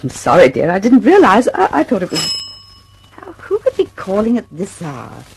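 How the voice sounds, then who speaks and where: An actress in an old radio drama playing a gentle, apologetic, slightly flustered wife with a genteel manner. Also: female voice, mid-range voice, huge pitch swings, moderate pace, creaky, a little hiss or hum, low-quality recording. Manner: genteel, fluttery.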